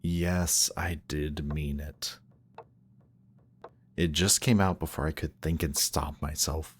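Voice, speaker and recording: A man playing a grumpy, guarded villain who is secretly softhearted, speaking haltingly and defensively in an intimate one-sided roleplay audio. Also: low voice, a little hiss or hum. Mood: defensive, awkward. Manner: halting, defensive, reluctantly tender. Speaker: voice actor.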